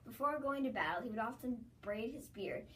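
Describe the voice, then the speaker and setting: A woman's voice: fairly high pitch, animated, a little fast, a bit bright, distant mic, a little hiss or hum, low-quality recording. A young woman anchoring a mock newscast, reading her lines plainly and stumbling now and then. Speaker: news anchor. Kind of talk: student mock-news anchoring.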